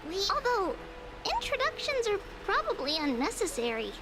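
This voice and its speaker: Very high, girlish seer. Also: huge pitch swings, normal speed, noisy background, heavily acted. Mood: courteous, reassuring. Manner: formal, reassuring. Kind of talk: video game voice acting.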